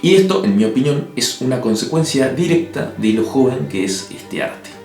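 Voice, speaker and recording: Un hombre de Uruguay con acento del Río de la Plata, que narra un ensayo en video con opiniones firmes y toques de humor seco. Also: male voice, medium pitch, expressive, moderate pace. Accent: Río de la Plata Spanish. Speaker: video essay host. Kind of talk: scripted narration. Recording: echoey room with soft music.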